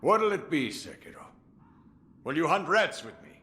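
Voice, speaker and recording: A man's voice: fairly high pitch, big pitch swings, sharp tone. An actor playing a boisterous, menacing old swordsman in a tengu guise, theatrical and commanding, in a video game cutscene. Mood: sly, commanding. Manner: theatrical, slow, chuckling and commanding.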